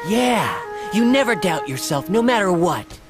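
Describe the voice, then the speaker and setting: Tiny ancient game creature, gentle and earnest, very slow. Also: female voice, medium pitch, very animated, over music. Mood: tender. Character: small, sweet, ancient fairy-like creature.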